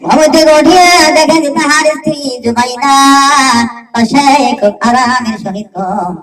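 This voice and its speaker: Echoey, high-voiced woman preacher. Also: moderate pace. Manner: dramatic, repeats phrases for emphasis. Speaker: religious preacher. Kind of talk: sermon storytelling with acted dialogue. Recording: echoey, distant mic, music behind.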